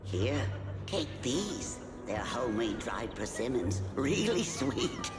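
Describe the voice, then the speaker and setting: Sweet old granny in a game, slow and expressive, echoey w loud music. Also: female voice, rumbling low, heavily acted. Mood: kind, generous. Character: kindly old village woman in a game. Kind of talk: scripted game voice acting.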